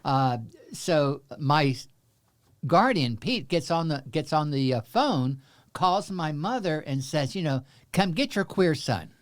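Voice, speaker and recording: A man in his seventies from Central Texas, a guest telling his life story in an interview, halting with many fillers, with a wry humor. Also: a little high, animated.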